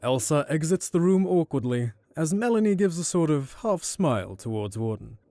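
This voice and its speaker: Dark, mellow-voiced male narrator. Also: fairly high pitch, very animated, normal speed, no echo. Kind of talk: scripted story narration.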